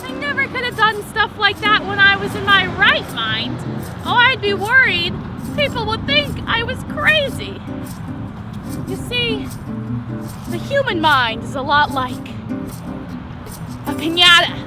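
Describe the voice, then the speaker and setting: An actress playing an eccentric street woman who is defiantly proud of her madness, speaking with a raspy voice and rambling as she needles passersby directly in a one-woman stage monologue. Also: very high voice, lively intonation, a little slow, pauses often. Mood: ranting. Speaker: stage actor. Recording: distant voice under loud music.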